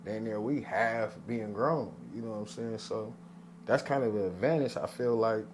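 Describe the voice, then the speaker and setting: A man's voice: on the low side, moderate pace, background noise. A man hosting a podcast interview in a loose, laid-back way, often saying "man" and "come on". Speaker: podcast host.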